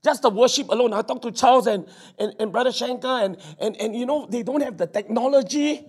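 A middle-aged man with a Singaporean English accent, preaching and praying fervently, his voice hoarse from preaching. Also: very high-pitched, a little fast, darkish tone. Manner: fervent, repetitive, peppered with "Amen". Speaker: pastor. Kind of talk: sermon with prayer. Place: church service.

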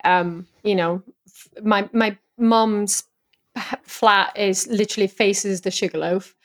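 A woman's voice: mid-range voice, steady tone, takes it slowly. A woman in her thirties from Brazil, a native Portuguese speaker with a southern English accent, chatting and laughing easily as a podcast guest. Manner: chatty and laughing, with many restarts. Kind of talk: casual chat.

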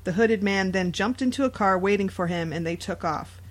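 A woman with a voice of medium pitch co-hosts a true crime podcast. She recounts cases in a casual, conversational style and comments on them with dry sarcasm. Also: brisk. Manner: sarcastic asides. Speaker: podcast co-host. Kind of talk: casual true crime chat, reading out stories.

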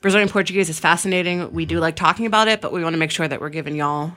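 A woman co-hosting a podcast, chatting in a friendly, explanatory way. Her voice is fairly low but strikingly bright. She speaks casually and informally. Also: racing. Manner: friendly, explanatory, says y'all. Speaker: podcast co-host.

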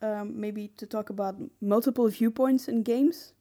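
A woman's voice: on the high side, fairly even intonation, normal speed, mellow. A woman co-hosting a podcast, talking in a casual conversational way. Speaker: podcast co-host.

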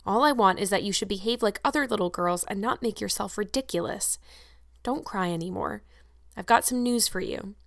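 Woman reading as a brisk, stern guardian woman. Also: medium pitch, fairly bright tone, dry recording, studio quality, lightly acted. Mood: vexed, reassuring. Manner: no-nonsense, scolding but kindly. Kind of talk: audiobook character acting.

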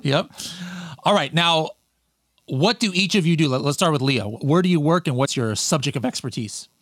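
A man's voice: on the high side, expressive, normal speed, fairly bright tone, no room sound, studio quality. A man hosting a podcast in casual interview chat, upbeat and talkative, with frequent restarts. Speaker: podcast host.

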